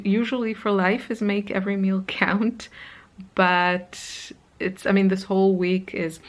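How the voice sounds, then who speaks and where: A woman's voice: lowish voice, vocal fry. Chatty woman with a slight Israeli accent. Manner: chatty, rambling, many fillers. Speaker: art vlogger. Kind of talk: casual vlog chat. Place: hotel room.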